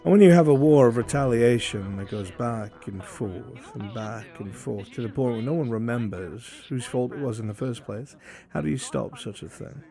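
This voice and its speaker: A man playing a solemn, philosophical imperial in a fantasy roleplay, speaking in a measured, brooding way with deliberate pauses. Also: mid-range voice, gravelly, mellow, close to an everyday voice. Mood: grave, brooding. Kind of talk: improvised fantasy roleplay acting. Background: background music.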